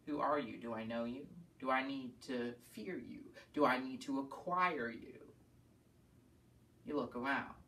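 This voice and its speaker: A man playing an embittered, scornful painter in a deliberate, intense theatrical monologue. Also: medium pitch. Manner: deliberate, swelling from hushed to snarling. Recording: echoey room, distant mic.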